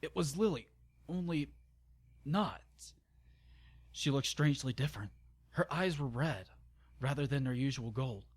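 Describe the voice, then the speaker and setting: A man's voice: mid-range voice, expressive, unhurried, long pauses. A man narrating an amateur dramatic story reading, informal and stumbling, with exasperated, sarcastic asides. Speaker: narrator and channel host.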